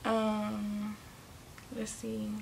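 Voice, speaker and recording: Chatty nineteen-year-old woman with a gravelly edge. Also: mid-range voice, completely flat delivery, drawn-out words, noisy background. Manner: chatty, rambling, restarts and self-corrections, drops into quiet asides. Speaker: vlogger. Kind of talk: casual Q and A talking to viewers. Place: bedroom, indoors, small.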